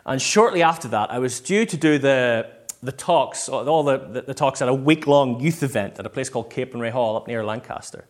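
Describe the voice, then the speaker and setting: A man's voice: mid-range voice, brisk. A man with a Northern Irish accent giving an informal, conversational sermon full of personal stories and bits of acted-out dialogue. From Northern Ireland. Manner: conversational, self-quoting, acts out short bits of dialogue. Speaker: preacher.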